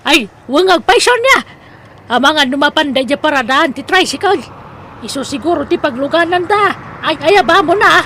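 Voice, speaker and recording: A woman's voice: high-pitched, animated, normal speed, sharp tone, slight background noise. A woman playing a sensitive, good-hearted married daughter in an Ilocano audio drama, earnest and plaintive with an Ilocano accent. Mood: friendly, eager.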